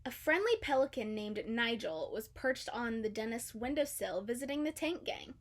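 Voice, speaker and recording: A woman's voice: on the high side, expressive, normal speed. A woman reading a children's storybook aloud as a narrator. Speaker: storybook reader.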